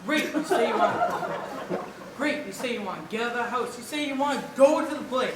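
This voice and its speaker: Bored young American slacker played by a teenage girl, slangy stage comedy role, animated pitch, distant noisy hall recording. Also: normal speed, lightly acted. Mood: defensive. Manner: blunt, slangy, sullen. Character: bored, defensive slacker young man dragged to theater. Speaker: high school actor. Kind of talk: live amateur stage acting. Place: theater stage, indoors. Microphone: far camera in the audience. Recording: echoey auditorium from far off, noisy.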